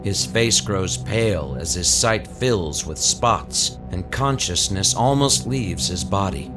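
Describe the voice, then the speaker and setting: A man's voice: deep voice, animated, slow, rough recording. A man giving dramatic scripted narration for a horror audio drama. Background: music under the voice.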